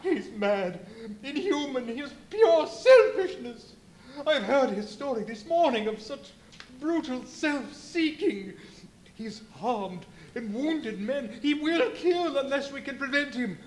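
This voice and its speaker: Male actor as frightened, agitated doctor, very high voice, animated, stammering, pleading, live radio play, roomy echo, faint noise. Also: slow, full character voice. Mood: panicked. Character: anxious, alarmed male doctor, horrified by a madman. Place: live performance in a brewery venue.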